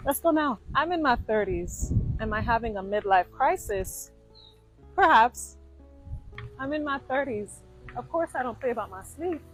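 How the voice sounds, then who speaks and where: A woman's voice: a little high, expressive, moderate pace, faint music behind, poor audio. A woman in her thirties delivering playful, punchy one-liners in a comedic skit. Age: 30s. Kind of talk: comedic social media skit.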